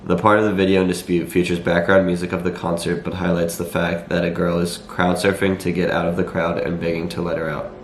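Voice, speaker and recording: A young man with a slightly raspy voice and a cold, explaining things to viewers in a measured, matter-of-fact vlog style. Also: sits low, level tone. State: has a cold. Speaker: vlogger. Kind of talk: talking to camera, explanatory vlog. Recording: echoey room, faint background noise.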